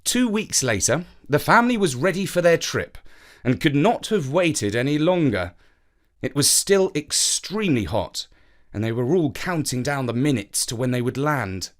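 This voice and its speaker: A man narrating a children's story in an expressive storytelling manner. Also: fairly high pitch, normal speed.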